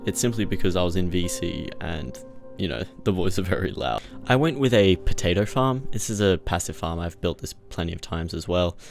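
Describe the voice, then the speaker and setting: A man's voice: deep voice, vocal fry. A man giving casual, conversational, jokey first-person narration of his video game play. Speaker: gaming video creator narrating gameplay. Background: music behind the voice.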